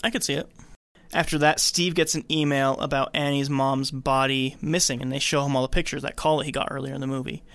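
A man hosting a podcast, casually retelling a film's story in an animated storytelling way. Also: medium pitch, fairly quick, smooth, no echo. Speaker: podcast host recapping a film. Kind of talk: casual film recap narration.

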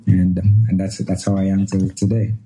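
Nigeria se aaye tees ke dashak ke aadmi, dheemi naram aawaz, araam se baat karta hai. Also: male voice, low voice, monotone, normal speed, mellow, faint music behind, poor audio. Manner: talks with frequent restarts and repeated phrases. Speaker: guest pulmonologist. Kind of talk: relaxed conversational storytelling.

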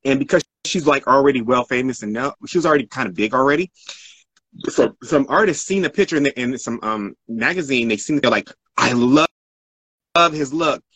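A man tells his story casually as an interview guest. His medium-pitched voice has a husky edge, and he wanders and restates himself as he goes. The sound is close and dry, with little room. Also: a little fast, a bit bright, no room sound, poor audio. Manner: rambling, self-correcting. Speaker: interview guest, art model.

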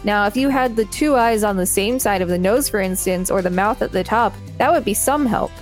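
A complaining storybook egg, voiced by a woman, fussy and pedantic in his dialogue. The voice sits at a medium pitch with music underneath. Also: brisk, close to an everyday voice. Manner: reading out quoted dialogue.

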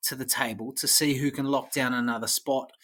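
A man's voice: mid-range voice, fairly even intonation, brisk, fairly bright tone. Sex: man. Role: teasing quizmaster host. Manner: teasing, swearing, steering the segments. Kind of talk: casual chat.

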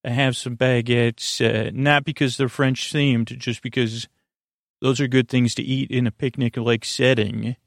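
A man hosting a bedtime podcast, talking in a meandering, halting way with stammers and tangents, meant to soothe. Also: medium pitch, flat delivery, dry recording, clean studio sound. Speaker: podcast host.

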